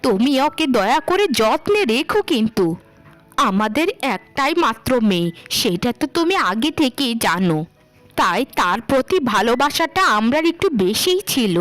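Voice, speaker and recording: Bride's mother, middle-aged played by a woman, anxious and pleading, high-pitched cartoon melodrama, close dry sound. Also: sing-song, normal speed, fairly bright tone, dry recording, faint music behind.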